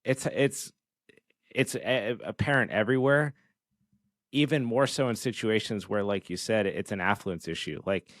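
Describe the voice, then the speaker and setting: A man speaks as a podcast host in relaxed conversation. His pitch is a little low, and he builds his explanation phrase by phrase. The recording is dry, with no room sound. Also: normal speed, dry recording.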